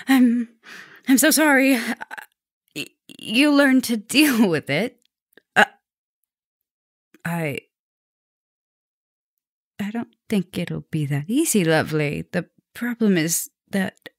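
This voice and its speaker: A woman playing a gentle, remorseful vampire professor in an intimate ASMR roleplay, speaking softly and hesitantly. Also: lowish voice, no room sound, clean studio sound, natural voice. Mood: anxious, apologetic. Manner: hesitant, stammering, tender, apologetic.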